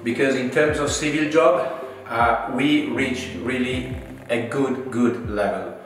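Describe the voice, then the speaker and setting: A middle-aged man, speaking English as a second language, presents a property tour. His delivery is halting yet full of enthusiasm, at a medium pitch. The rooms ring with strong echo, and faint guitar music plays behind him. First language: not English. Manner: halting, filler-heavy, enthusiastic praise. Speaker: designer presenting a home renovation. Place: tiled and furnished rooms inside a villa. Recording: very echoey rooms, background music. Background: instrumental guitar music.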